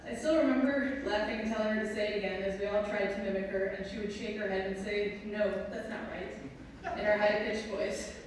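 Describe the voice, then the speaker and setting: Female presenter, Wisconsin accent, reading a prepared speech, far from the mic in a reverberant hall. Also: mid-range voice. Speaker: presenter introducing her mother. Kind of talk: prepared speech read aloud. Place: large echoing hall at a ceremony. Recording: echoey hall from far, faint noise.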